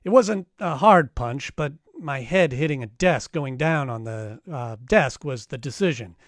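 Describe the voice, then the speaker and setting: An actor playing a tough, wry private detective in a 1940s-style radio drama, narrating and trading lines. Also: male voice, mid-range voice, animated, measured pace, close to an everyday voice. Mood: wry narration.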